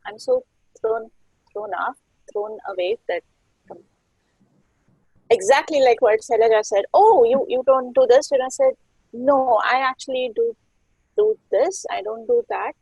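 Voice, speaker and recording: Gravelly, husky woman panelist. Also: measured pace, long pauses, no echo, low-quality recording. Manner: animated, with sharp pitch leaps. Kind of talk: panel discussion.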